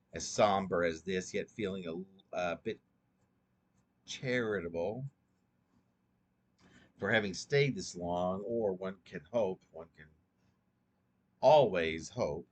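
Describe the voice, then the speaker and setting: A man reading his own poem aloud in an earnest, rhetorical, dramatic recitation with a halting delivery. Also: lowish voice.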